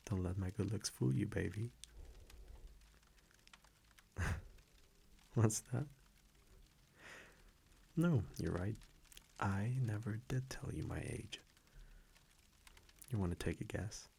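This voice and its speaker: A man playing an affectionate centuries-old vampire boyfriend in an intimate ASMR roleplay, speaking softly, hesitantly and teasingly into a close microphone. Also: fairly low pitch, slow pace, creaky, long pauses, slight background noise. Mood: teasing, fond. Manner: soft, hesitant, teasing, chuckling between phrases.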